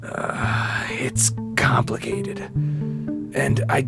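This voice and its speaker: A man's voice: medium pitch, fairly even intonation, drawn-out words, creaky. Cautious commander played by a man, music behind. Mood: grave, worried. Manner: reasoning, hesitant, explanatory. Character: cautious, level-headed male military commander of ninja. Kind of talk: fan-made audio drama acting.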